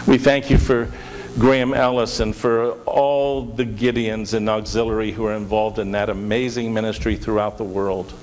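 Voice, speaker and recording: A man's voice: on the low side, flat delivery, normal speed, few pauses, darkish tone. A middle-aged man, a pastor, speaking earnestly in prayer and announcements, often repeating words as he goes. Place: large church sanctuary. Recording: reverberant church hall with hiss.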